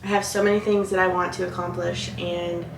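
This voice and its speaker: A woman in her late twenties talking casually to her viewers in a relaxed, chatty vlog from her home office. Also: lowish voice, fairly quick, creaky, reverberant, slight background noise, poor audio. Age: 20s, about to turn 30. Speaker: vlogger.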